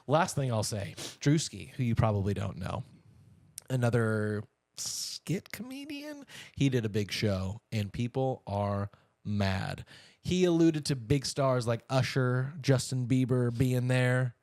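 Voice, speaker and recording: A man with a mid-range voice talks as a podcast co-host. His delivery is informal and chatty, like relaxed banter over the news. The sound is dry, with very little room in it. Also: mid-range voice, slow pace, dry recording. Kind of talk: casual conversational news banter.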